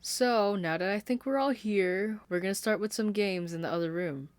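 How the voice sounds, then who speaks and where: A reader voicing a sweet, sing-songy teenage girl hosting a party, loud and bubbly, in a dry home recording. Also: medium pitch, moderate pace, no room sound, pitched above the performer's own voice, lightly acted. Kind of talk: fan fiction reading.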